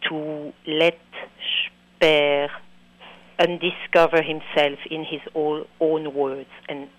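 A woman's voice: low voice, flat delivery, takes it slowly, dry recording, dull audio. Belgian-accented woman in a dry studio sound. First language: French. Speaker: interview guest, documentary filmmaker. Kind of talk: radio interview.

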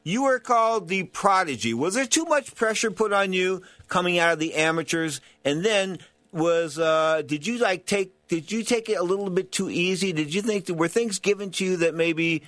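A man's voice: sits high, normal speed, fairly bright tone, no room sound. A man interviewing a boxer, asking blunt, direct questions.

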